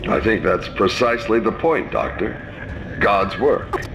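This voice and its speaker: A zealous ship captain in a sci-fi game, self-assured and condescending, with very varied intonation. The sound is dull, with clear background noise. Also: male voice, fairly low pitch, lively intonation, measured pace. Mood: smug conviction. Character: zealous, dismissive ship captain, devout believer. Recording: dull, noisy ship ambience.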